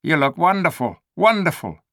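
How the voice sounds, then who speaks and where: A man's voice: fairly high pitch, very animated, moderate pace, a bit bright, no room sound, clean studio sound, pitched above the performer's own voice. A man voicing a crafty, bossy male ape who whines and coaxes in a storybook reading. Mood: persuasive. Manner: whiny, persuasive, self-pitying. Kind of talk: storybook read-aloud.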